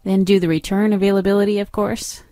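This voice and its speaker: Woman narrating a software tutorial, dry close sound. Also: medium pitch, level tone, fast, dry recording. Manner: step-by-step, instructive. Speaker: software trainer. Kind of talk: instructional screen demo narration.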